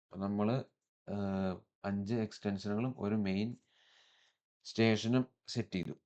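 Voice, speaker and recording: A man with a Malayali accent presenting a step-by-step software tutorial in an instructional manner, mixing in English technical terms. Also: fairly low pitch, fairly even intonation, moderate pace, muffled recording.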